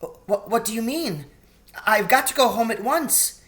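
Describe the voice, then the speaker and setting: A man's voice: very high voice, lively intonation, unhurried, a bit bright, pitched above the performer's own voice, full character voice. A man voicing a polite, anxious, caring young girl in a storybook, with an earnest, fretful manner. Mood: worried.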